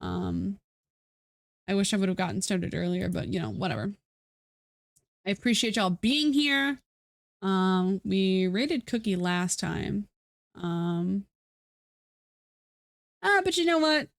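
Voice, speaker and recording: A woman talking casually on a live stream from home, her voice pitched in the middle and a little stuffy. She rambles and restarts, full of fillers and self-mocking asides. The sound is close and dry, with no room echo. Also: animated, slow, vocal fry, long pauses. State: stuffy nose.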